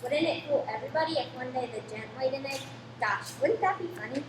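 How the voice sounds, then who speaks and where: A woman acting an eager, chatty young boy in a radio play, naive and excitable. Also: high voice, fairly quick, close to an everyday voice. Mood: delighted. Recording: echoey room with clear noise.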